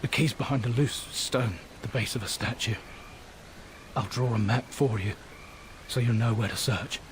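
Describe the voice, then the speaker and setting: Male actor: doomed loyal soldier, weary, solemn confession, medium pitch, game drama, faint ambience behind. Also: normal speed, a little hiss or hum, natural voice. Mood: confessional, weary. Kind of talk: dramatic video game acting.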